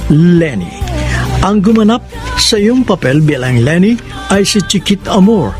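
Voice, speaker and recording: A Filipino man with a high voice hosts a radio love drama. He reads in an earnest, dramatic and sentimental style, phrase by phrase, over clearly audible music. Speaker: host of a radio drama program. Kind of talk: sentimental scripted radio narration. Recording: music bed under the voice.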